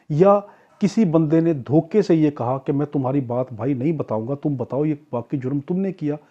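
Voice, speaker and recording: A man with a Pakistani accent teaching law in an explanatory lecture, posing questions and answering them himself. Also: mid-range voice. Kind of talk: explanatory lecture with acted-out examples. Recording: dull, muffled lo-fi lecture recording.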